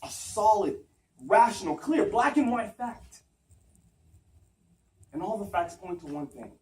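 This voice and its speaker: A man acting out an anguished, self-doubting rationalist confessing forbidden love in an articulate, earnest stage monologue with dramatic pauses. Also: high voice, expressive. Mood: desperate insistence. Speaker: acting student. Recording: roomy, distant mic, faint background noise.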